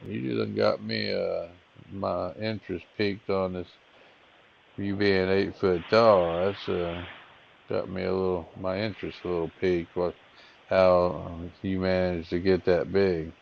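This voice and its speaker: A man with a drawl talks in a very deep, gravelly voice, ribbing the person on the other end with folksy, mock-innocent questions. The recording is muffled and low-fidelity. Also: little pitch movement, measured pace, a little hiss or hum. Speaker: scambaiter. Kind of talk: prank phone call.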